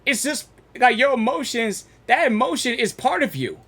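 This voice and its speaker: A man's voice: very high-pitched, sing-song, normal speed, a bit bright, a little hiss or hum. A man giving casual, informal reaction commentary as a gaming streamer, rambling and repeating himself.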